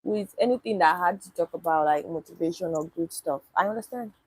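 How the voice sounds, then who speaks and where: A woman's voice: lowish voice, fast, slightly rough, no room sound, poor audio. A young woman, live-streaming casually and answering chat comments bluntly, with swearing. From Nigeria.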